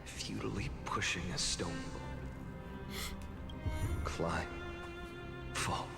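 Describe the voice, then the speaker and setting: A low-voiced anguished warrior from a video game, solemn and brooding. He speaks very slowly and with heavy drama, as if worn down by endless sorrow and set on vengeance, over loud dramatic music. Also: gravelly, full character voice. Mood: weary sorrow.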